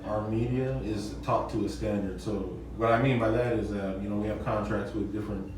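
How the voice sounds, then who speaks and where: A young man, a media producer, talking casually as an interview guest, pausing with fillers and restarting his thoughts. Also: fairly low pitch. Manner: relaxed, hesitant, many fillers and restarts. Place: noisy event mixer, echoey room. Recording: echoey room, distant mic, crowd noise.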